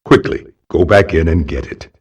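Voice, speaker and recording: Very deep wise old guardian spirit. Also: male voice, a little mellow, husky. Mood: instructive. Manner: solemn, measured, instructive. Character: wise ancient male guardian mask spirit, benevolent mentor. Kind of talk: video game cutscene exposition. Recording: distant game audio with music.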